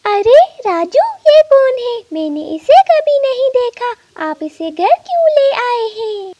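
A woman's voice: very high-pitched, big pitch swings, takes it slowly, warm tone, lo-fi sound, heavily acted. A woman voicing a worried, caring mother bird in a cartoon, with an anxious, polite manner. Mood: wary.

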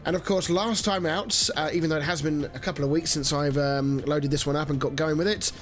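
A man's voice: fairly high pitch, steady tone, a little fast, soft background music. A man in his thirties with a nasal, stuffy voice from a cold, rambling chattily through a let's-play with plenty of fillers. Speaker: gaming channel host. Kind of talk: casual let's-play commentary.

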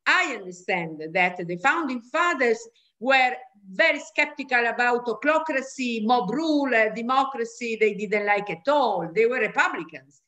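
Higher-pitched woman speaking haltingly on a panel. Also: expressive, a bit bright. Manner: halting, searching for words. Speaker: academic panelist. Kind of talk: unscripted panel discussion over video call. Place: small room at home, indoors. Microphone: computer mic on a video call.

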